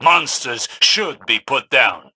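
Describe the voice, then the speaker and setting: An actor playing a stern, haughty older male warlock mentor in a video game, speaking in a formal, measured, lecturing way. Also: mid-range voice, expressive, bright, forceful tone, husky, dry recording, full character voice. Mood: stern, cold. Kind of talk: dramatic video game dialogue.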